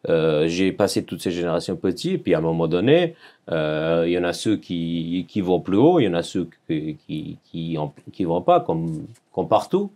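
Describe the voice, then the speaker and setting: Low Serbian-accented man, mellow tone. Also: sing-song, normal speed. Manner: earnest, searching for words in French, repeats phrases. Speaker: podcast guest. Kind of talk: personal storytelling in an interview.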